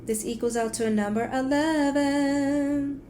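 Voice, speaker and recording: A woman hosting a tarot livestream in casual, animated, informal talk, thinking aloud and repeating phrases for emphasis, her voice turning a little raspy. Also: high-pitched, measured pace, slight background noise. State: voice getting raspy. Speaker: tarot reader and livestream host.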